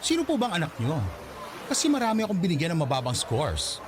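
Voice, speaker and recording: A man's voice: sits high, wildly swooping pitch, fast talker, noisy background. A man with a Filipino accent voicing a prim, defensive male pageant judge in a radio drama, politely prickly.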